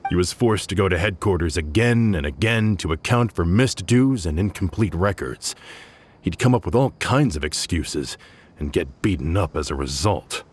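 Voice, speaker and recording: A man's voice: sits low, expressive, normal speed, a little hiss or hum. A man voicing a bitter, vengeful male gang member in a video game, speaking bluntly.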